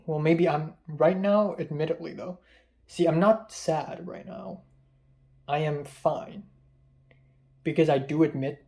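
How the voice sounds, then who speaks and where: Raspy-voiced young man, rambling and self-deprecating. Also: fairly high pitch, mellow. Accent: Hong Kong English. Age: young adult. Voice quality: muffled. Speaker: vlogger. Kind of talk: confessional vlog talk.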